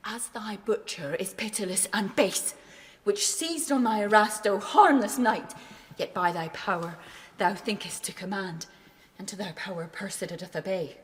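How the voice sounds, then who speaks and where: A woman's voice: medium pitch, moderate pace, a little hiss or hum, natural voice. An actress playing a defiant, bereaved young noblewoman who performs as a tragic queen, scornful and impassioned in classical verse. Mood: scornful, vengeful. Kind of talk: classical verse radio tragedy.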